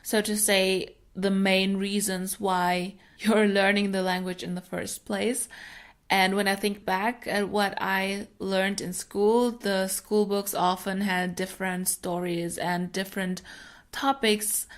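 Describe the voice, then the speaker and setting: A woman's voice: medium pitch, level tone, slow pace. A young adult woman hosting a solo podcast, a native German speaker talking in a friendly, explanatory way. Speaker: podcast host.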